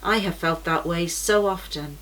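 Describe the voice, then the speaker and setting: A woman's voice: fairly low pitch, a little slow, fairly bright tone, rough recording. A woman reading an anxious pilgrim mother. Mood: worried. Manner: worried, confiding.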